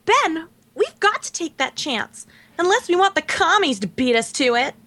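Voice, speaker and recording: A woman's voice: extremely high pitch, sing-song, normal speed, fairly bright tone, full character voice. A woman playing a devoted young heroine in a radio play, earnest, fretful and melodramatic. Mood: determined.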